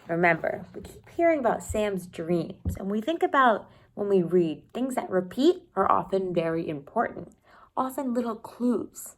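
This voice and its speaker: A woman teacher reading a children's novel aloud, expressively and deliberately, one phrase at a time. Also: mid-range voice.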